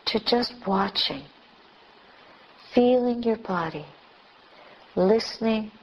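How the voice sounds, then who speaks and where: A woman meditation teacher leading a guided meditation in short, unhurried phrases with long pauses between them. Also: mid-range voice. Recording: dull lo-fi recording with clear background noise.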